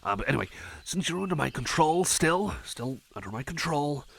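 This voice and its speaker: A man's voice: a little high, expressive, brisk, gravelly, a bit bright, heavily acted. A man playing a shy, friendly cyborg chum in an ASMR roleplay, talking in a hesitant, stammering, rambling way. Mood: playful, hypnotic. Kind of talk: personal attention ASMR roleplay.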